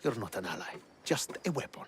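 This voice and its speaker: An actor playing a bitter, hostile Spartan commander who resents the hero. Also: male voice, fairly low pitch, very animated, brisk, slight background noise. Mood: scornful. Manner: scornful, resentful. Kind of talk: video game cutscene acting.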